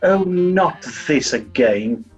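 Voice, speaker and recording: A man playing a grumpy, dim-witted upper-class British gentleman detective, reluctant and flustered, in a 1940s radio comedy. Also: fairly high pitch, animated, slow, husky, soft background music, heavily acted. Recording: muffled, distant microphone.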